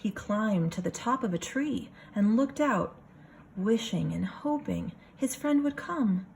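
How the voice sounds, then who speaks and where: A woman reading a picture book aloud like a kindergarten teacher, expressive, pausing for casual asides to the children. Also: mid-range voice, creaky, a little hiss or hum.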